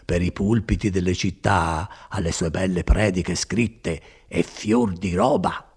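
A man's voice: low-pitched, steady tone, slow pace, darkish tone, husky, voice pushed down, exaggerated voice. A man voicing a folksy, placid friar who rambles on consolingly in an audiobook story. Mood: placid, expansive. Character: chatty, placid old-fashioned friar, folksy and evasive. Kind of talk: dramatized audiobook reading.

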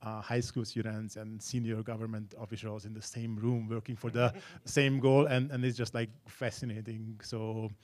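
Casting note: male academic from Hungary, low voice, soft and hesitant. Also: little pitch movement. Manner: gentle, hesitant, with "uh" pauses. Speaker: academic, board member. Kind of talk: conference panel introduction. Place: conference hall on stage.

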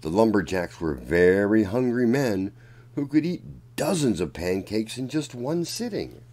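A man reads a children's picture book aloud in a low voice. His pace is very slow and deliberate, and his intonation rises and falls widely. The delivery is that of a dramatic storyteller addressing young children. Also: very animated, a little mellow. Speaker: children's storyteller and channel host.

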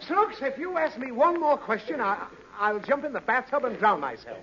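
A man's voice: very high voice, very animated, normal speed, pushed and bright, full character voice. An actor playing a harried, exasperated father in 1930s radio comedy, blustering and whining. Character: harried, exasperated father, quick to threaten spankings. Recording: dull, noisy 1930s radio recording.

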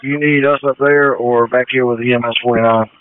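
A man's voice: mid-range voice, steady tone, fairly quick. A man on a fire engine crew talking in operational exchanges over two-way radio. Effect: radio transmission. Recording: tinny, noisy two-way radio.

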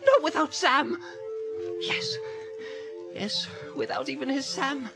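Loyal hobbit servant, anxious, rustic, high voice, swooping intonation, storybook character, music behind. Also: male voice, animated, takes it slowly, creaky, exaggerated voice. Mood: agitated reasoning. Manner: rustic, plain folksy grammar, muttering and exclaiming.

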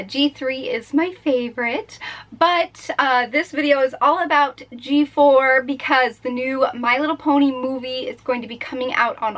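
A woman's voice: high-pitched, a bit bright. A woman in her twenties giving a casual, chatty makeup review to camera, informally addressing her viewers in a bedroom. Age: 20s. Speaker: beauty vlogger, product reviewer. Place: small bedroom, indoors.

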